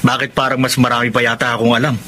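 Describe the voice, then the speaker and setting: A sly young man played by an actor in a radio drama, with clear noise behind him. His voice sits at an ordinary male pitch as he teases and insinuates, scheming for secrets. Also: flat delivery, very fast, bright, forceful tone, lightly acted. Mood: scheming, probing. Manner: insinuating, teasing. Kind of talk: Tagalog radio drama acting. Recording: noisy background ambience.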